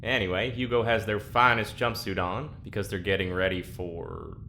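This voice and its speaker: Weary, sardonic male spaceship crewman, lowish pitch, forceful edge, audio drama delivery. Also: fairly even intonation, normal speed, a little hiss or hum. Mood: exasperated. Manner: wry, weary complaining. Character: tired, sardonic young male diarist on a spaceship.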